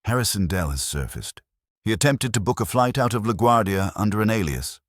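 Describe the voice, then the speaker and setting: A man voicing a commanding, coolly logical detective in an audiobook, formal, deliberate and pompous. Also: on the low side, lively intonation, no echo, studio quality, pitched above the performer's own voice, natural voice. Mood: grave.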